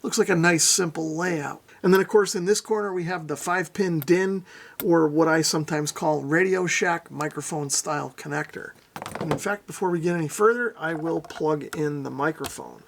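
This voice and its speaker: A man's voice: on the high side, moderate pace, vocal fry, slight background noise. A middle-aged man narrating a casual hands-on product demo, explaining things methodically and without hurry. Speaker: radio reviewer, presenter.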